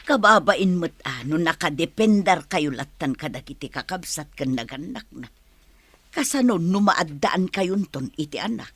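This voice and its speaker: An actress with an Ilocano accent and a husky voice playing a practical, disapproving middle-aged mother in a radio drama. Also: deep voice, animated, moderate pace, dry recording. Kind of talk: Filipino radio drama acting.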